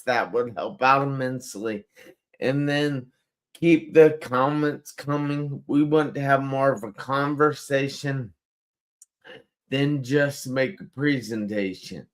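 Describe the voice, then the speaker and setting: A man hosting a live online talk show, speaking slowly and emphatically and repeating words for effect. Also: medium pitch. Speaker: host. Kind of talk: live-stream show host.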